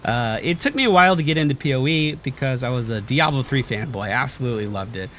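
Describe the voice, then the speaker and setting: A man hosting a gaming channel, explaining a character build over gameplay in a relaxed way with lots of uh and um. His voice is still stuffy as he recovers from losing it. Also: medium pitch, fast talker, a little hiss or hum. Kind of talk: gameplay commentary over footage.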